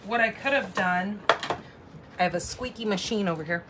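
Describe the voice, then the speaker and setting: A woman in her forties hosting a casual live craft demo, chatty and thinking out loud as she works. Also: medium pitch, normal speed, background noise. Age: 40s. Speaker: crafting host and instructor.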